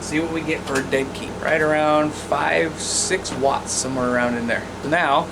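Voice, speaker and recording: A man's voice: a little high, fairly even intonation, normal speed. American man, casual demo, noisy background. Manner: informal, plain-spoken. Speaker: radio hobbyist presenter. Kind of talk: casual hands-on gear demonstration.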